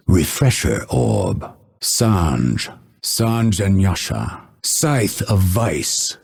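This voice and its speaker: A coldly purposeful video game assassin with a low, husky voice. He delivers his lines slowly and with menace, edged with sardonic spite and bitterness. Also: darkish tone, full character voice. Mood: terse, matter-of-fact. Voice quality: gravelly, husky. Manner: measured, menacing, dryly sardonic. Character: unveiled male assassin, hunted since birth, bitter, spiteful, coldly purposeful.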